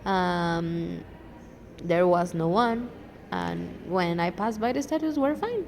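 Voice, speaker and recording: A young woman from Mexico speaking English with a Mexican Spanish accent, chatting excitedly and teasing her co-host on a podcast. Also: on the low side, takes it slowly, gravelly, a little hiss or hum. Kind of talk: casual storytelling chat.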